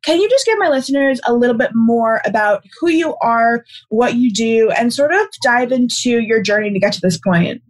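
A woman's voice: a little high, lively intonation, moderate pace, hardly any pauses. A young woman, a college student, hosting a podcast and interviewing a guest in an upbeat way. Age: young adult. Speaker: podcast host.

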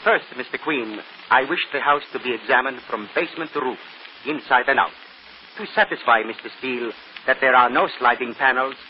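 An actor playing a grave, proud stage magician, speaking formally and theatrically in a 1930s radio drama. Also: male voice, medium pitch, a little fast, natural voice. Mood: solemn, proud. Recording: muffled, noisy old radio recording.

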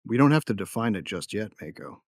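Tough bounty hunter narrator; low, close, dry sound, brooding with a tender streak. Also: male voice, very animated, fairly quick, no echo. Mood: teasing, tender. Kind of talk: first-person audio drama narration.

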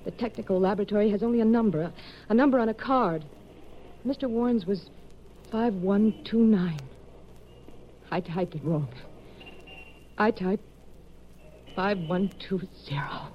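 An actress playing a guilt-ridden young clinic worker in a 1950s radio drama, halting, pleading and emotional. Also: female voice, mid-range voice, close to an everyday voice. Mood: shaken, confessing. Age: young adult. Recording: dull, noisy 1950s radio recording.

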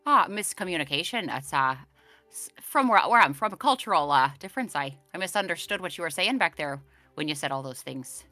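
A woman takes her character's lines in a tabletop game, putting on a made-up foreign accent that belongs to no real place. Her voice sits low for a woman yet sounds bright and sharp, and her pitch moves with lots of lift. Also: expressive, brisk, dry recording, faint music behind. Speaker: player.

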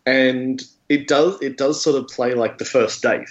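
A man's voice: medium pitch, fairly even intonation, measured pace, muffled recording. Wry man, mid-range voice. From Australia. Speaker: podcast guest. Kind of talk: casual film discussion.

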